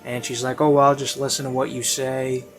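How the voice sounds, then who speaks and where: A man's voice: medium pitch, level tone, fairly quick. Rambling man over classical music. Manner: rambling, informal, repeats phrases for emphasis. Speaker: video reviewer. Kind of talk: casual unscripted spoken review. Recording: classical music playing behind voice. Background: baroque classical music.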